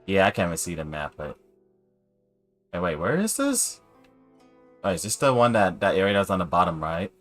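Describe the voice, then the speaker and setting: A man's voice: sits low, big pitch swings, a little fast, pauses often. A man doing casual, chatty live game commentary, reacting aloud to what happens. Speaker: game streamer. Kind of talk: casual live gameplay commentary, reading screen text. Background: game music.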